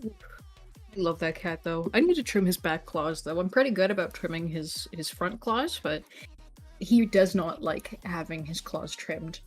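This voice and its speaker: A woman's voice: lowish voice, lively intonation, a little slow, breathy edge, soft background music. A woman chatting casually as a guest on a stream, telling small stories in a playful, wry way. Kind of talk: casual chat.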